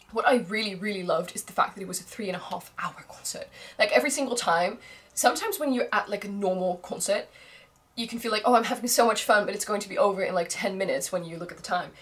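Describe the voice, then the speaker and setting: A young woman whose first language is Dutch, gushing in a casual vlog chat, laughing often, her voice strained from screaming. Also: medium pitch. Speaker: vlogger.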